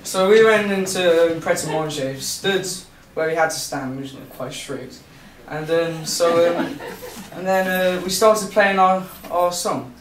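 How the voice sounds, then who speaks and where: A teenage busker telling a story on stage, halting and full of restarts. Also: male voice, a little high, background noise. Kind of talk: nervous live storytelling. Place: small room at a live storytelling night. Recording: echoey room, far camera.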